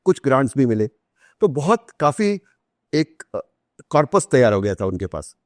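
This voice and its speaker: भारतीय लहजे में किस्से सुनाता पुरुष, साफ़ सूखी रिकॉर्डिंग. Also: male voice, medium pitch, very animated, moderate pace, no room sound, clean studio sound. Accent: Indian. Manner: explanatory, acts out quoted remarks; switches between Hindi and English. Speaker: author, interview guest. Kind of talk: conversational storytelling on a podcast.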